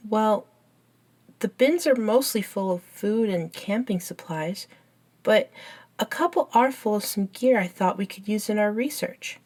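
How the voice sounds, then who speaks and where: A woman acting as an eager young female best friend with a nerdy, curious streak. She talks slowly and chattily, explaining things with soft enthusiasm in an intimate audio roleplay. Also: medium pitch, natural voice. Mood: earnest, curious. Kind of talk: ASMR roleplay, scripted one-sided conversation.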